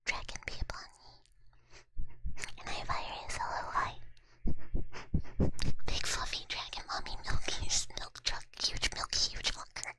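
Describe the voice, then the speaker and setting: A woman's voice: a little slow, long pauses. Whispering young woman streamer. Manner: soft whisper, casual filler-heavy talk. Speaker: streamer reading chat. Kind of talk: whispered ASMR chat.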